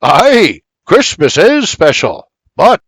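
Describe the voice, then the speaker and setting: A man's voice: high-pitched, huge pitch swings, takes it slowly, gravelly, fairly bright tone, breathy edge, no echo, studio quality, voice pushed up, exaggerated voice. A man voicing a stubborn, cheerful old Scottish man in a Scottish accent who lectures with plenty of Scots dialect. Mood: jolly. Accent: Scottish, as played.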